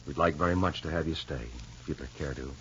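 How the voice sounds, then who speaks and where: A level-headed husband with a very low, husky voice, calm and plain-spoken. It sits inside a dull, lo-fi old radio recording. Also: male voice, fast talker, full character voice. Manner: calm, matter-of-fact, kindly. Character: steady, sensible married man. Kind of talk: 1940s radio soap acting.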